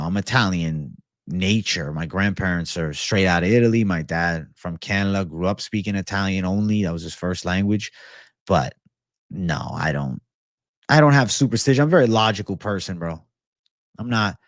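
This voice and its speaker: A young man talking casually on a livestream, his voice low and settled as he answers viewer messages. He is relaxed and slangy, calling everyone bro. The sound is close and dry, from a desk mic in a small home studio. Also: no echo. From American. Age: young adult. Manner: laid-back, slangy, says bro a lot. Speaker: MMA livestream host. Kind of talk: casual livestream chat reading superchats. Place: home studio room with shelves. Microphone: desk microphone in front.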